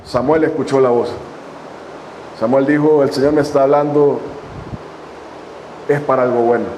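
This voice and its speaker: A man preaching a sermon as a pastor, reading scripture slowly and addressing his congregation with long pauses. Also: medium pitch, steady tone, darkish tone, a little hiss or hum, poor audio. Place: church hall.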